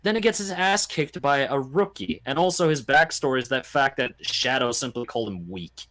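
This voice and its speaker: A man's voice: medium pitch, normal speed. Guy rambling opinions in a casual chat, voice goes up and down a lot, acts out quotes. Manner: animated, rambling storytelling with mimicked quotes. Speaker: podcast guest or panelist. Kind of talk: casual opinionated chat about video games.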